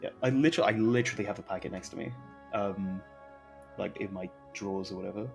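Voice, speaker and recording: Male streamer, informal rambling gameplay chat, mid pitch, noticeable fry, faint music behind. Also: fast. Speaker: game streamer. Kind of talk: casual live gameplay commentary.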